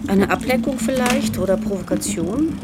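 A woman playing a brisk, no-nonsense police officer in a radio play. Her medium-pitched voice is matter-of-fact. Music and vehicle noise are clearly heard behind her. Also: animated, natural voice. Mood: assertive. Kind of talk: naturalistic radio drama dialogue.

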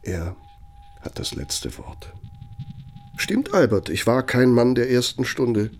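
An actor playing a self-important ageing German architect who dictates in a pompous, deliberate, rhetorical manner, as in a radio play. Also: male voice, medium pitch, big pitch swings, slight background noise. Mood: grave, measured.

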